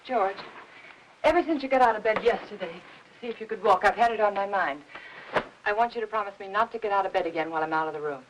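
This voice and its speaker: Actress for vintage noir housewife: gentle, placating, medium pitch, faintly noisy film sound. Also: female voice, quick pace, highs cut off, close to an everyday voice. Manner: polite, placating, soft endearments. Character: gentle, strained housewife caring for a sick husband. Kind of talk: 1950s film noir acting.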